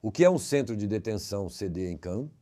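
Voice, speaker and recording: A man's voice: fairly low pitch, lively intonation, a little fast, dark tone. A man hosting a conversational interview, inquisitive, putting questions and dropping in short reactions. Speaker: interviewer, host.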